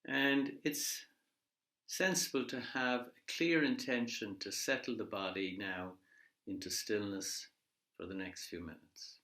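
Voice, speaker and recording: A man leading a guided body scan meditation in a measured, gently instructive way, leaving pauses between phrases. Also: lowish voice, steady tone. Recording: echoey room.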